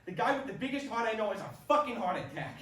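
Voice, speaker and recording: Earnest, pleading male athlete in grief man acting, stage drama, higher-pitched voice, echoey room. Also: big pitch swings, fast, fairly bright tone. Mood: angry grief. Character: Joey, earnest ballplayer, expectant father grieving his dying dad. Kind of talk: staged play reading, emotional dialogue. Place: theatre stage at a staged reading. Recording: roomy stage recording, distant mic, faint noise.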